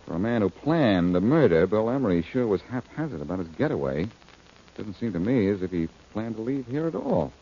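A man's voice: lowish voice, big pitch swings, brisk, mellow, slightly rough, heavily acted. An American actor playing a confident, commanding, wisecracking male sleuth in 1940s radio detective style. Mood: musing, urgent. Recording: dull old radio recording.

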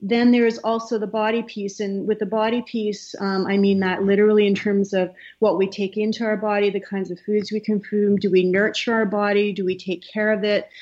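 Soft-spoken woman with a lo-fi sound. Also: mid-range voice, little pitch movement, a little fast, hardly any pauses. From Canada. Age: middle-aged. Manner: soft-spoken, hesitant with many fillers. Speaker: guest. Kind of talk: casual interview talk. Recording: slightly dull, lo-fi sound.

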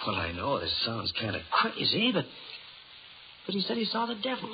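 A man's voice: medium pitch, huge pitch swings, normal speed. An actor playing a nervous, deferential rookie policeman, hesitant and earnest, in a 1930s radio drama. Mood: nervous, apologetic. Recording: muffled, noisy 1930s radio recording.

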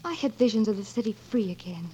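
An actress playing a highborn, romantic young lady, earnest and courtly, with a husky, breathy voice in 1940s radio drama style. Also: animated, fast talker, dark tone. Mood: tender, earnest. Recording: dull vintage 1940s radio broadcast.

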